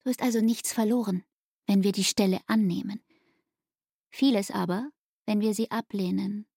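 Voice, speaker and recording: An actress playing a devoted, determined young fiancée in a German literary radio drama, speaking in an insistent, coaxing way. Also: female voice, mid-range voice, moderate pace, vocal fry, warm tone, husky, dry recording, clean studio sound. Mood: pleading, determined.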